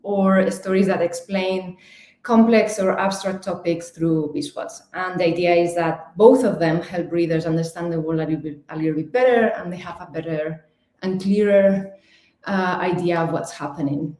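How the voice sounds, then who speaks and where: A woman's voice: on the low side, moderate pace, reverberant. A young woman with a Spanish accent giving a chatty, slightly nervous talk as a guest speaker. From Spain. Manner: chatty, nervous, lively with fillers and restarts. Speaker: guest speaker, digital designer. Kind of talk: online talk presentation.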